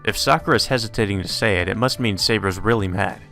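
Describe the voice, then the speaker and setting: A man with a fairly low but bright, forceful voice reads a visual novel aloud as a let's play host. His delivery is informal, with asides of his own. Light game music plays behind him. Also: quick pace, vocal fry. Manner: informal, slips into character voices. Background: video game music.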